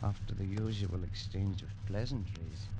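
An actor playing a haunted Englishman narrating his descent into madness in a halting, agitated way, in an old-time radio drama. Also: sits low, fairly even intonation, background noise. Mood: haunted, weary. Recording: vintage radio broadcast with music.

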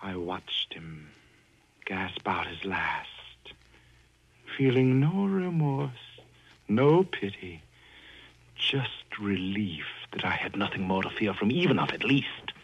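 An actor narrating as a cold, obsessed murderer in a 1940s radio drama, brooding and menacing. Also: male voice, on the low side, big pitch swings, slow, slightly rough, lo-fi sound, full character voice. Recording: music behind old radio recording.

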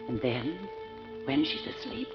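An anguished, plotting woman in an old radio drama. Her delivery is slow and breathless, with huge pitch swings. It sits in a dull, echoey recording over clear music. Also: very animated, full character voice. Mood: feverish scheming.

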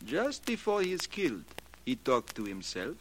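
A man's voice: mid-range voice, wildly swooping pitch, normal speed, a bit bright. An actor playing a foreign observatory handyman in a 1940s radio drama, speaking stilted broken English with a foreign accent. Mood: sly, threatening. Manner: stilted, broken English with simple present tense. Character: foreign observatory handyman who believes in astrology. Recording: noisy, clicky 1940s radio recording.

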